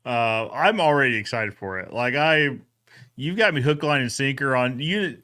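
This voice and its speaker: A man's voice: medium pitch, expressive, moderate pace, pushed and bright. A man hosting a podcast recap in a casual, enthusiastic chatting style. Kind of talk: casual chat.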